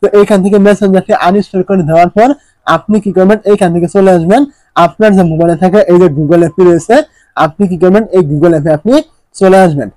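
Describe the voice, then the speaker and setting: A man's voice: sits high, fairly even intonation, quick pace, warm tone, no echo, poor audio. A man narrating a step-by-step phone tutorial, instructing repetitively and often posing questions before answering them. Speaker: tech tutorial presenter.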